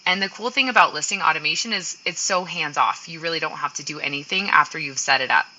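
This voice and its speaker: Low-pitched woman with a very bright voice. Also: fast talker, highs cut off. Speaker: software presenter. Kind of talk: live webinar walkthrough.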